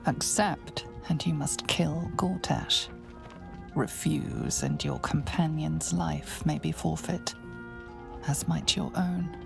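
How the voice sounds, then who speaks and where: A woman narrating a fantasy game in a grave, measured storybook manner. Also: deep voice, gravelly, music in the background. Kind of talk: scripted narration.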